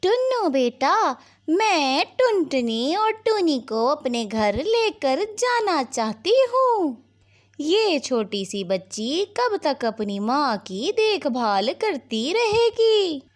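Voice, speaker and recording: A woman's voice: high voice, sing-song, slow pace, exaggerated voice. A woman voicing a concerned, caring older grandmother bird in a Hindi cartoon story, with a motherly, coaxing manner.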